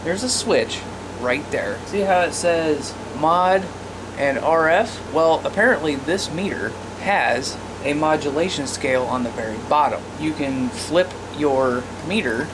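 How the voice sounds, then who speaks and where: A man's voice: a little high, unhurried, noisy background. An American man giving a casual, plain-spoken hands-on gear demonstration as an informal presenter. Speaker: radio hobbyist presenter.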